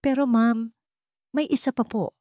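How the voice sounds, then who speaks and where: Woman acting a deferential investigator, slightly raised pitch. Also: fairly even intonation, unhurried, dark tone, dry recording, clean studio sound, close to an everyday voice. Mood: careful. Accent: Filipino. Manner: polite, matter-of-fact. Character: deferential private investigator reporting to wealthy client.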